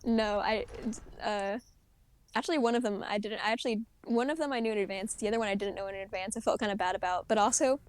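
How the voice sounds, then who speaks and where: A young woman streamer with a high voice, chatting casually and rambling, often giggling and restarting her sentences. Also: quick pace, smooth, dry recording. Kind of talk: casual gaming chat.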